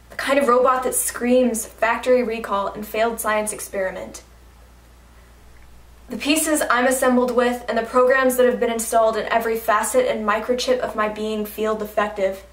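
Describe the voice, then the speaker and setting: A woman reciting slam poetry in a dramatic, deliberate, rhythmic delivery. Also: a little high, steady tone. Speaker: slam poet. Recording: echoey room, distant mic.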